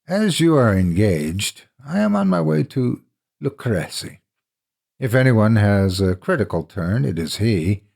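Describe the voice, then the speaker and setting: A man playing a cunning, vengeful gentleman in a gothic horror story, speaking with deliberate, flattering courtesy. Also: on the low side, vocal fry, exaggerated voice. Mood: feigned reluctance. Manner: flattering, deliberate, falsely reluctant.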